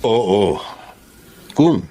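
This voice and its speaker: A man's voice: on the low side, big pitch swings, drawn-out words, warm tone, heavily acted. A male actor playing a boastful, lovestruck, wealthy bachelor in a Filipino drama, self-satisfied and insistent. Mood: smooth, insistent. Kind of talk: Filipino radio drama acting.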